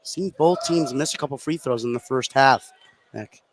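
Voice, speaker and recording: A man's voice: medium pitch, moderate pace, background noise. A man doing live play-by-play commentary of a basketball game on the radio.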